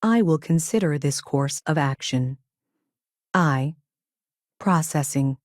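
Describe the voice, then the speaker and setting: A woman playing a self-aware female ship AI in a video game, speaking in clipped, literal, measured statements with a detached, earnest logic. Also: deep voice, lively intonation, a little mellow, dry recording, studio quality. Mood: measured, analytical. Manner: clipped, announces each statement with a one-word label, literal. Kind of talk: video game character dialogue.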